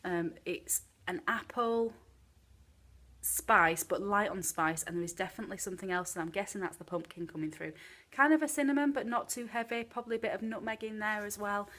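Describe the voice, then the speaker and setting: A woman's voice: medium pitch, fairly quick, a bit bright. A woman with a British accent giving a casual, frank candle review, a little chesty from a cold. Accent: British English. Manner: chatty, frank, many ums. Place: home room with candles burning.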